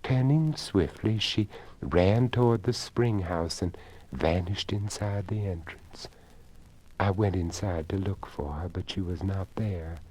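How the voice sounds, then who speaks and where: A man voicing an earnest, elderly deaf lady in a story, with a hushed, measured, genteel delivery. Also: sits low, slightly rough. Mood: hushed, sober.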